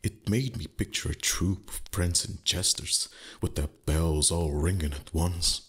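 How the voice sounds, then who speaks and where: Man acting a very deep, husky, haughty dragon. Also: fairly bright tone, exaggerated voice. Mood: grudging, teasing. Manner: slow, condescending, flustered tsundere pauses. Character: haughty male dragon, teasing, secretly fond. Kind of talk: intimate roleplay ASMR acting.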